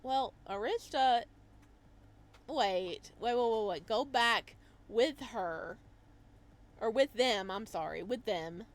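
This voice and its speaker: A woman reading a visual novel aloud as a let's play host, reacting to the story in an animated way. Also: fairly high pitch, slow, pauses often. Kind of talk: game commentary mixed with read-aloud narration.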